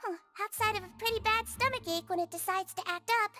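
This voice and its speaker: A woman voicing a small, gentle, childlike nonhuman nurse in a video game, with an attentive bedside manner. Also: very high-pitched, quick pace. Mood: reassuring. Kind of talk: video game dialogue acting. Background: music.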